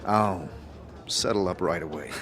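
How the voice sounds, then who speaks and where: A man's voice: deep voice, unhurried, fairly bright tone. A man voicing an awkward, polite wanderer in an audio drama, hesitant and stammering. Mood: awkward, unsure. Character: awkward, hesitant male wanderer new in town.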